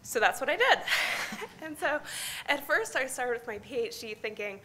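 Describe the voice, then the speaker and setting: Midwestern woman speaker, middle-aged, bright voice, candid and self-deprecating. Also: high voice, very animated, normal speed, low-quality recording. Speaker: conference speaker. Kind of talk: personal talk at a science meeting. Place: large conference hall.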